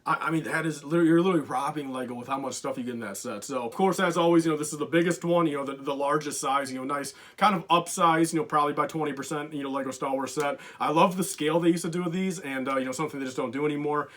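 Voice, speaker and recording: Fast-talking young man, rambling and eager. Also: fairly high pitch, fairly bright tone. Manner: rambling, lots of fillers, enthusiastic. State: losing his voice. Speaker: LEGO collector YouTuber. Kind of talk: casual countdown vlog.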